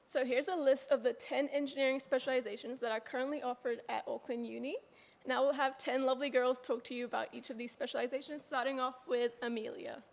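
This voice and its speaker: Young NZ woman, student presenter, high voice, friendly, long hall echo. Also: steady tone, fast talker, creaky. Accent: New Zealand. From New Zealand. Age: young adult. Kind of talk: presenting to an audience.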